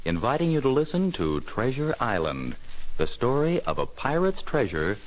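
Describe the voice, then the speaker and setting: A man's voice: medium pitch, big pitch swings, moderate pace, slight background noise, poor audio. A man narrating a scripted children's story record in a dramatic, inviting way. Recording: loud music behind the voice.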